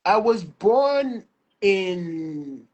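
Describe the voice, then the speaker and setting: A man's voice: high voice, big pitch swings, very slow, darkish tone. A man in his twenties who grew up in Lagos, a musical artist talking easygoingly as a guest on a video call. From Lagos, Nigeria. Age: 20s. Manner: easygoing, many "like" and "you know" fillers. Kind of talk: casual interview chat.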